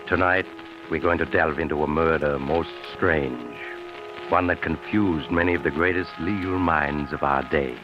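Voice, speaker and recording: Muffled old radio mystery host: suave sinister traveler, extremely deep voice, ominous theatrical delivery, music behind. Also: male voice, normal speed. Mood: ominous storytelling. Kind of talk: 1940s radio mystery narration.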